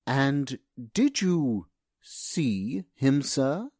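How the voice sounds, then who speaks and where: A man playing a deferential, nervous household servant in a radio drama, husky, formal and hesitant. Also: medium pitch, big pitch swings, extremely slow, a little mellow, dry recording, studio quality, full character voice.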